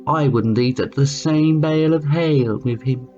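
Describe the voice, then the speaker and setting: A man's voice: medium pitch, a little slow, warm tone, lightly acted. Man playing a fussy, gossipy lady elephant. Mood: scornful. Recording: muffled lo-fi sound with music behind.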